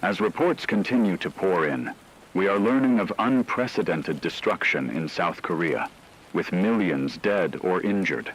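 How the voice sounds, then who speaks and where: A man playing a solemn radio anchor, reading a scripted emergency war bulletin in a measured, formal way. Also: low voice, lively intonation, husky, exaggerated voice, low-quality recording. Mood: grave, urgent. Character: grave male radio anchor reporting a world war. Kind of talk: scripted emergency news broadcast.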